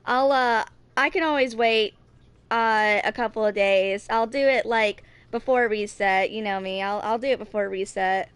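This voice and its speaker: A woman in her twenties streaming a video game, talking casually in a rambling, halting way that circles back on itself. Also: medium pitch, clean tone, dry recording. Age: 20s. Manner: rambling, halting, repeats herself. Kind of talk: casual livestream chat with some reading aloud of game text.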